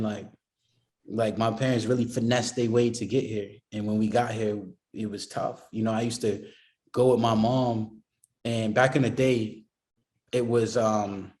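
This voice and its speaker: A man's voice: fairly low pitch, dark tone. A man from the Boston area, a music producer being interviewed, talking casually and rambling with lots of "you know what I mean" fillers.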